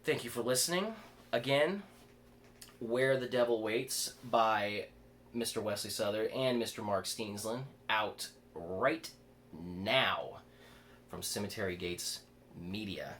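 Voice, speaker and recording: Male horror reader, lower-pitched, some stumbles over words, faint noise behind the voice. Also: takes it slowly, fairly bright tone. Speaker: author reading own fiction aloud. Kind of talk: horror story reading. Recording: clear background noise.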